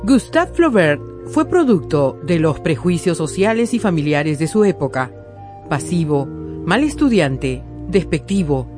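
A woman reading scripted documentary narration in an emphatic, expository way. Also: fairly low pitch. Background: music.

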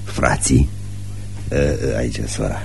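An actor playing a raffish, teasing ex-soldier fiancé in a radio play, with a sly, flirtatious, offhand manner. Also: male voice, darkish tone, soft background music, full character voice. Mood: offhand, amused. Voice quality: low, gravelly. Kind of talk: radio theatre acting.

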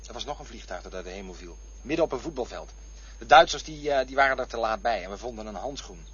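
A man's voice: medium pitch, animated, fast, fairly bright tone, slightly rough. An actor playing a boastful, defensive man in a radio play, insistent and taunting as he repeats himself. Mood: haunted, defiant. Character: boastful, defensive man arguing over his boyhood memories. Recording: dull lo-fi radio play recording.

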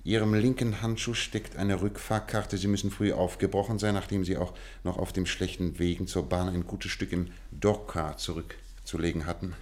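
A man's voice: low-pitched, steady tone, moderate pace, hardly any pauses, lightly acted. A man acting a courteous, observant, self-assured detective in a German radio play, speaking formally and precisely.

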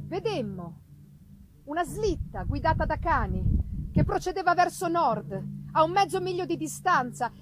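A woman reads gothic fiction aloud. Her delivery is theatrical and deliberate, shaped by dramatic pauses. Clear background noise runs through the live recording. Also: high voice, rough recording. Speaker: reader of a literary work. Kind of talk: dramatic literary reading of a gothic novel.

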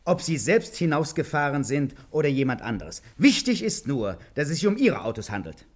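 A relentless police inspector in an old German radio satire. His delivery is theatrical and highly varied in pitch, sitting a little high for a man. He needles with mocking, menacing politeness. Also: sing-song, normal speed. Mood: insistent, precise. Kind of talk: 1950s German radio comedy crime drama acting.

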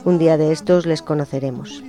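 A man with a somewhat high yet mellow voice reads a personal letter on the radio. His delivery is slow, intimate and reflective, and quiet guitar plays faintly behind him. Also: fairly even intonation. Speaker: radio presenter. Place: radio studio. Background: soft guitar music.

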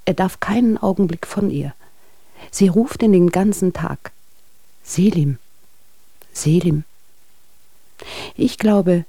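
Creaky-voiced, gossipy letter writer. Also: female voice, lowish voice, dark tone, no room sound, full character voice. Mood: wryly amused. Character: wry, gossipy letter-writing friend of a couple. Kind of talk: radio drama reading of letters.

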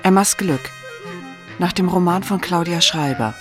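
A woman announces the credits of a radio drama from a script. She reads very slowly, with clear music behind her voice. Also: lowish voice.

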